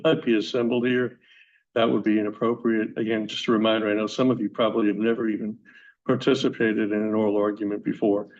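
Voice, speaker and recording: A man with a low, flat voice lays out hearing procedure in a measured, explanatory manner. His tone barely rises or falls. The recording is dull and lo-fi. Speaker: hearing officer. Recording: dull lo-fi hearing room audio.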